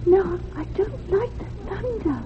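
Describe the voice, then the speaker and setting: A woman voicing a lonely, plaintive little ghost girl in a radio horror drama, speaking in halting, repetitive childlike phrases. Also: very high-pitched, expressive, a little hiss or hum. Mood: plaintive, eerie. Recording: tinny, band-limited radio recording with music.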